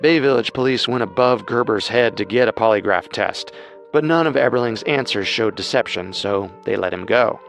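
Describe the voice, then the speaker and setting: A man co-hosting a podcast, reading scripted true-crime narration in a measured storytelling manner. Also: on the low side, vocal fry, fairly bright tone, faint music behind.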